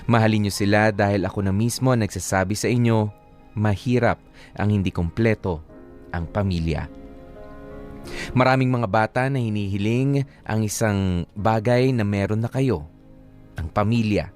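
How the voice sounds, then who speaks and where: A man's voice: lowish voice, moderate pace, pauses often, a little mellow. Filipino male radio host over background music. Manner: code-switches between Tagalog and English. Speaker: radio host reading a listener's letter and commenting. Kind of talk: radio advice show reading and casual banter. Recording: music playing behind the voice.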